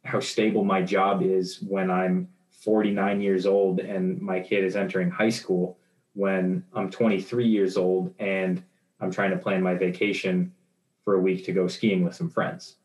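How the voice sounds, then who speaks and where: A man's voice: deep voice, level tone, unhurried, darkish tone. A man in his twenties talking in a rambling casual discussion, with many fillers and restarts. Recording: roomy echo.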